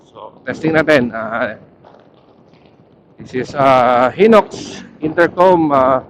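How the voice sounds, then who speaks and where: A man with a Filipino accent vlogging casually while riding a motorcycle, pausing often to search for words, speaking into a helmet intercom mic. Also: medium pitch, lively intonation, lo-fi sound, background noise. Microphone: helmet intercom headset.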